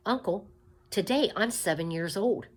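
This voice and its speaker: A woman's voice: medium pitch, moderate pace, pitched above the performer's own voice, close to an everyday voice. A woman voicing a naive, hopeful seven-year-old peasant girl with eager, simple speech in a storybook reading.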